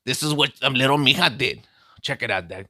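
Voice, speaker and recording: A man's voice: mid-range voice, expressive, brisk, sharp tone, no room sound, studio quality. A man playing a boastful, heartbroken streetwise vato, telling a comic story in slangy talk. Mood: swaggering. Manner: slangy, calls listener "dog" constantly, boastful storytelling. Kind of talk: comic character monologue.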